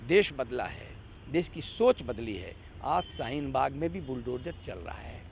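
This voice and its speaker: A man's voice: mid-range voice, lively intonation, unhurried, gravelly, slight background noise. A man giving political commentary as an interview guest, arguing emphatically with repeated phrases and rhetorical questions.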